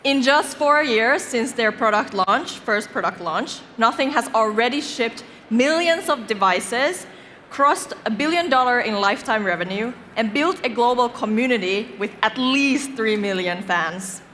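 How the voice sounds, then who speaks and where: Bright, higher-pitched woman hosting in a reverberant hall. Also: lively intonation, measured pace. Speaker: stage host. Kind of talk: scripted event introduction. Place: event stage in a large venue. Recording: echoey large hall, noisy.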